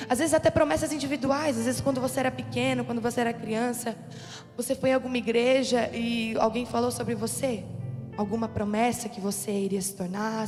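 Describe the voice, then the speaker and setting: Hoarse young woman preaching in an echoey hall. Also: fairly high pitch. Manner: conversational, calls for amens. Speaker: youth group preacher. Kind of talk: sermon. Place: church youth service hall.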